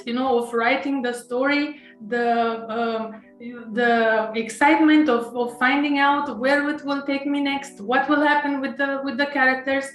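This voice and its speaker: A woman's voice: high voice, reverberant, rough recording. A woman from Romania with a Romanian accent, an author being interviewed, speaking hesitantly with many fillers, restarts and nervous laughs. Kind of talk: casual interview conversation.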